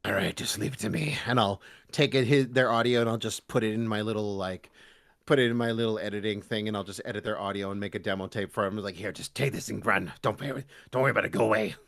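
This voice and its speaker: A man's voice: lowish voice, fast talker, vocal fry, a bit bright. A Canadian man chatting casually as a podcast guest, animatedly slipping into mock voices when he quotes people. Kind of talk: casual interview chat.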